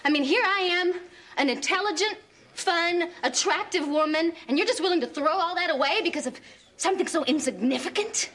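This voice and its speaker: A woman's voice: fairly high pitch, animated, normal speed, a bit bright. An actress playing a proud, sardonic sitcom woman with a Southern US drawl and folksy sarcasm. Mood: indignant. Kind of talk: multi-camera sitcom acting.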